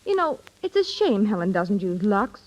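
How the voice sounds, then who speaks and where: Arch, animated woman. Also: medium pitch, moderate pace, dull audio. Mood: arch, knowing. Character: arch woman party guest, knowing and gossipy. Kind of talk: 1930s radio ad skit acting.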